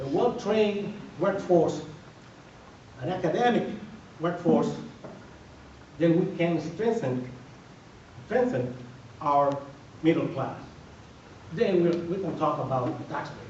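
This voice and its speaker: A man's voice: fairly high pitch, darkish tone, slight background noise. Dominican-accented man speaking from afar in an echoey hall. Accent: Dominican Spanish. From Dominican Republic. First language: Spanish. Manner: emphatic, repetitive, builds to firm declarations. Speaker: mayoral candidate. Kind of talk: campaign speech at a forum. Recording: distant, echoey, dull radio recording.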